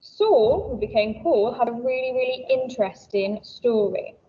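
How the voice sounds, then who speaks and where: Cheerful high-voiced woman teacher. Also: moderate pace, a little hiss or hum. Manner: cheerful and encouraging, talks to children. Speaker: primary school teacher. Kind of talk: recorded school assembly for children. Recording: dull lo-fi sound, a bit distant.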